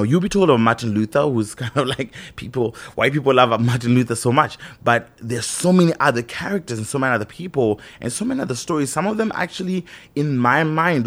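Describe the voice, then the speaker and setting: A man who grew up in Zimbabwe, talking thoughtfully in a casual monologue, pausing and restarting often with "you know". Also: fairly low pitch, fairly bright tone.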